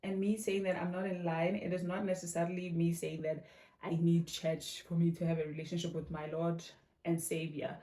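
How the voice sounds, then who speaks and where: A woman's voice: on the low side, little pitch movement, moderate pace, warm tone. A woman in her thirties chatting casually to her viewers while getting ready in her bathroom, calling them "guys" and dropping slangy asides. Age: 30s. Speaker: vlogger. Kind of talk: casual vlog chat. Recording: echoey bathroom, faint music behind.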